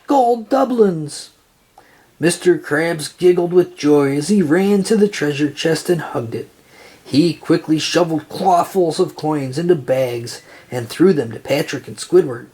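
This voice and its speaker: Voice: mid-range voice, measured pace, mellow, breathy edge. A storyteller reading a children's picture book aloud in narration style. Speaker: storybook reader.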